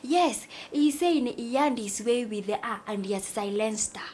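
A woman's voice: a little high, a little slow, darkish tone, slight background noise, close to an everyday voice. A woman with a Kenyan accent playing a coy, gossipy young student in a drama. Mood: confiding.

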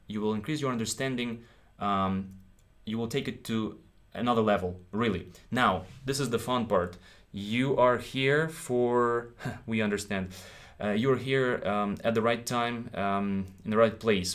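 A man in his thirties, a native Ukrainian speaker with a Ukrainian accent, teaching English in a live stream from a small room, encouraging and checking in with his viewers often. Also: mid-range voice. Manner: encouraging, repeats himself, checks in with "okay?".

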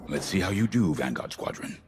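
A commanding military officer in a video game, briefing pilots with measured authority. His voice is low with a varied, lively range, over faint background noise. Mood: commanding, serious.

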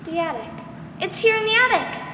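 A woman's voice: very high-pitched, sing-song, a little fast. A woman playing a curious, earnest little girl in a 1940s-style radio drama. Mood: insistent searching. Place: school theater stage. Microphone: stand microphone, masked performer. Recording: very echoey noisy theater hall, distant.

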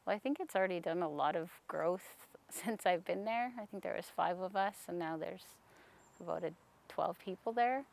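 Soft-spoken woman losing her voice. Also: on the low side, moderate pace, gravelly. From Canada. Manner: soft-spoken, hesitant with frequent ums. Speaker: staff member interviewee. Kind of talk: casual interview answers. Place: outdoors in a sunny park.

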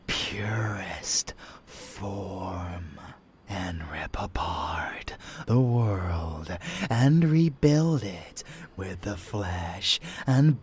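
A man voicing an unhinged, fanatical vampire lover in dark romance ASMR roleplay, theatrical and menacing yet doting, husky and often dropping to a whisper. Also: fairly low pitch, full character voice. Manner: theatrical, savoring words, slow with dramatic pauses.

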